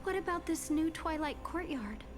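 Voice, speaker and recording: A woman's voice: high voice, lively intonation, moderate pace. A woman playing a shy, self-doubting young apprentice healer in a video game, polite and hesitant. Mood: worried. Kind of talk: scripted game dialogue. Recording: music playing behind the voice.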